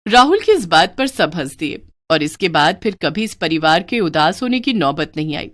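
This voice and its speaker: A woman's voice: lowish voice, big pitch swings, normal speed. A woman reading scripted narration for a moral story as its storyteller.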